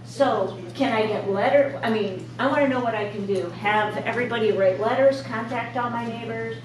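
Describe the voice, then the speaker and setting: A woman resident speaking up at a community meeting in a loud, eager voice, full of questions. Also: a little high, normal speed. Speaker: local resident. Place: large echoey meeting room. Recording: echoey room, distant mic, crowd murmur.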